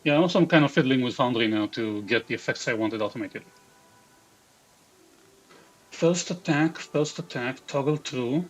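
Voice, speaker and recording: A man's voice: medium pitch, lively intonation, moderate pace, pauses often. A man from Israel with an Israeli accent, streaming live, reading chat aloud and muttering half-sentences to himself as he builds a game character. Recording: dull lo-fi stream audio.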